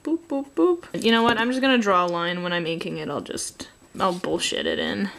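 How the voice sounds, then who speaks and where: A woman's voice: mid-range voice, brisk, gravelly, a bit bright, a little hiss or hum. Chatty woman drawing and joking. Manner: chatty, joking, talks to herself while drawing. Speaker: artist teaching drawing. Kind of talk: casual drawing tutorial commentary.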